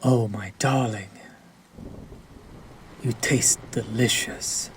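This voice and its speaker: A man voicing a sadistic, possessive vampire lord in an intimate audio roleplay, silkily commanding and deliberate, often dropping into a breathy whisper. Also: lowish voice. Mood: gloating, seductive. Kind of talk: intimate scripted audio roleplay addressed to the listener. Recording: clear background ambience noise.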